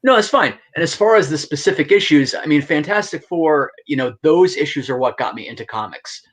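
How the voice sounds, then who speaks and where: A man's voice: fairly high pitch, animated, brisk. A middle-aged man, an actor and writer, explaining his work as a guest in a casual, enthusiastic interview chat.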